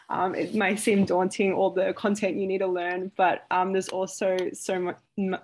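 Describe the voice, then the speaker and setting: A woman's voice: fairly low pitch, slight background noise. A young adult woman, a university student, giving casual, chatty advice with lots of "like".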